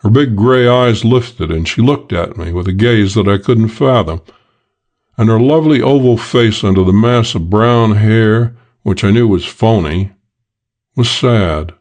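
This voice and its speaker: Male audiobook narrator, lowish voice, dry and measured first-person crime read. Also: a little mellow.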